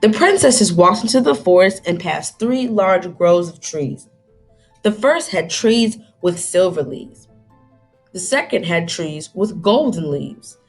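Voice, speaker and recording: A woman's voice: on the low side, lively intonation, takes it slowly, breathy edge, soft background music, low-quality recording. A woman reading aloud to children like a teacher, dramatic and inquisitive, stopping to put questions to young listeners.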